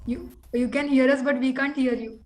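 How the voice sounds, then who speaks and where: An Indian-accented woman hosts a casual group video call. Her voice sits on the high side and moves very little in pitch. There is some noise on the line. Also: steady tone, moderate pace. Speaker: meeting host. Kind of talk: casual online meeting talk. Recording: noisy video call audio.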